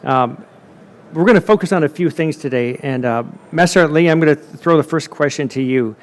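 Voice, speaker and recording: A man's voice: fairly high pitch, fairly quick. Emphatic man moderating a panel. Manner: emphatic, repeats words while building a point.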